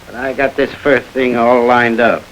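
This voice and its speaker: An actor playing a tough, slangy gang thug who talks back sarcastically, in a 1930s crime film. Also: male voice, medium pitch, flat delivery, moderate pace, fairly bright tone, slight background noise, close to an everyday voice, low-quality recording.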